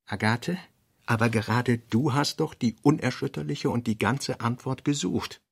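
Brooding guy thinking thru his draft notes for a radio play, gravelly creaky voice, halting n pensive, bits get emphatic. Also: medium pitch. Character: brooding male literary voice thinking through sketched notes. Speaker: radio drama actor. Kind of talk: literary radio play, reading an author's fragmentary notes and drafts.

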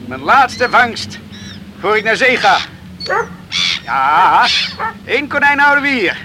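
A man's voice: high voice, big pitch swings, takes it slowly, exaggerated voice. An actor playing a young hunter chatting fondly and contentedly to his dog in a Dutch radio play. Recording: noisy old radio recording.

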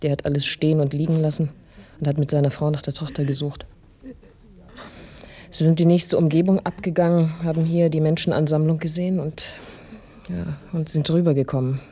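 An actress as a female detective in a radio play. Her voice is low and dark. She reports in a plain, sober, matter-of-fact manner. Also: little pitch movement, a little fast, close to an everyday voice. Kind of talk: radio drama acting.

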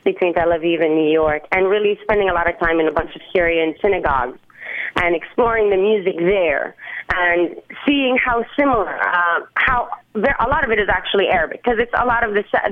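A woman's voice: mid-range voice, a little fast, no echo. A woman musician being interviewed, speaking earnestly and often restarting as she searches for words. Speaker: musician guest. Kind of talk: interview over the phone. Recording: tinny phone line.

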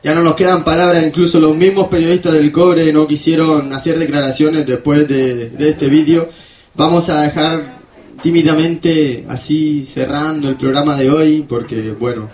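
A man's voice: fairly high pitch, fairly even intonation, moderate pace, a little mellow. A man hosting an informal, humorous radio show, rambling with hesitant phrasing. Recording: roomy, echoing voice recording.

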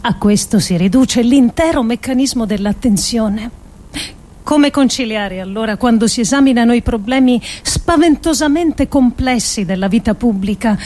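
Higher-pitched woman reading a radio monologue. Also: darkish tone. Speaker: actress reading an adapted essay. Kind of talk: dramatic scripted radio monologue.

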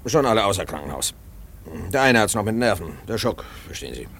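A man's voice: fairly low pitch, big pitch swings, fast, a bit bright, exaggerated voice. A man playing an evasive works director in a 1950s radio play, speaking in clipped, hesitant phrases. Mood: brusque, evasive. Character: evasive, brusque male coal company works director. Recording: faintly noisy 1950s radio recording.

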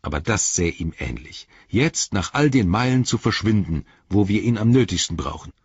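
A man's voice: on the low side, expressive, moderate pace, slightly rough, dry recording, muffled recording, heavily acted. A man voicing a plain-spoken, loyal but suspicious halfling servant for an audiobook, in a homely, grumbling manner. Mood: grumbling, doubtful. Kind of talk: audiobook character voice.

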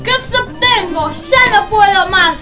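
A woman's voice: extremely high pitch, measured pace, over loud music, voice pushed up. A woman voicing a greedy, menacing big bad wolf in a children's radio play, exclaiming in short declamatory bursts.

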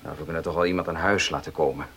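An actor in a Dutch radio play playing a proud, domineering farmer and husband who speaks emphatically. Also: male voice, very deep voice, steady tone, racing, fairly bright tone, slightly rough, full character voice. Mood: jovial, assertive. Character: proud, domineering gentleman farmer, loving but stubborn husband.

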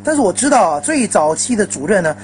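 A woman presenting on radio in an expressive way, with many sentence particles. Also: medium pitch, fast, faint music behind. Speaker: radio host.

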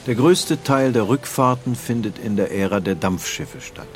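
A man's voice: mid-range voice, normal speed, a little hiss or hum. A man narrating a history documentary from a script. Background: music.

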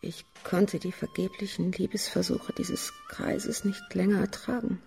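An actress playing a bitter, world-weary murderess in a German radio crime drama, speaking haltingly and often sinking into whispers. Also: female voice, medium pitch, fairly even intonation, gravelly, dark tone, soft background music.

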